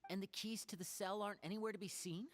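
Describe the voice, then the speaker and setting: A woman playing an earnest, kind young traveler in an anime-style video game, speaking gently and sincerely. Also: medium pitch, steady tone, fast talker, natural voice. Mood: curious. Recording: music under the voice.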